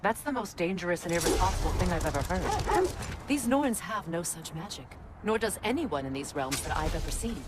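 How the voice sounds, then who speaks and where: Low, serious goddess. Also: female voice, sing-song, a little fast, heavy background noise. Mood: disapproving. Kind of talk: video game voice acting. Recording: game music behind voice.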